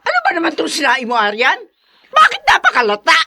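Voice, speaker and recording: Nagging older mother-in-law, high swooping voice, exasperated, irritable, radio drama. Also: huge pitch swings, quick pace, sharp tone, heavily acted. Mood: angry scolding. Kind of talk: Tagalog radio drama acting.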